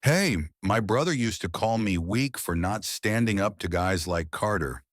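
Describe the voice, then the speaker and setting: A man's voice: lowish voice, expressive, a little slow, dry recording, clean studio sound. A man voicing an earnest teenage boy classmate. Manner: earnest, admiring.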